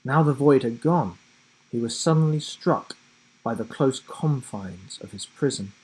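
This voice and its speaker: A male storyteller reads a children's book with drama and suspense. His voice is medium in pitch and slightly husky. He takes his time, leaving deliberate pauses and animating the action. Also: expressive, darkish tone, low-quality recording. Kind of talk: dramatic scripted storybook narration.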